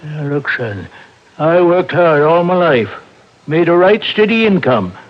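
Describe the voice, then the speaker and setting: High-voiced, cagey old railroad flagman. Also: big pitch swings, a little slow. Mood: defensive. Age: older. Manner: rustic, ungrammatical, evasive. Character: cagey, folksy old retired railroad flagman, self-justifying. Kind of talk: 1950s radio drama acting. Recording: dull vintage radio recording.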